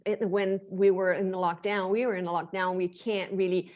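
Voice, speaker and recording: A chatty woman whose first language is Portuguese speaks as an interview guest over a video call. Her pitch sits in the middle, neither high nor low. She often stops and restarts her sentences, with small laughs in between. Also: steady tone, normal speed, darkish tone, highs cut off. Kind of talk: casual interview chat. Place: home with a lake view.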